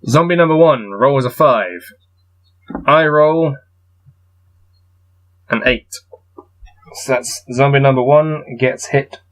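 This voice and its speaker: A man reading a gamebook aloud and adding low-key, wry muttered commentary as he plays. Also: takes it slowly, long pauses, low-quality recording. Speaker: solo gamebook player and reader.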